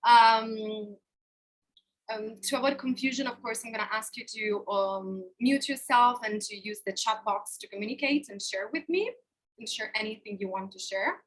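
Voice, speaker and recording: Bright-voiced woman coach in her thirties. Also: on the high side, low-quality recording. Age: 30s. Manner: expressive, open and personal. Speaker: life coach. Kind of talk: live online workshop talk. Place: hotel room.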